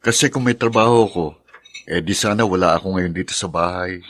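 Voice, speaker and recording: A man's voice: sits low, a little fast, a bit bright, no echo. A man with a Filipino accent playing a bossy, protective young older brother in a radio drama. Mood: teasing.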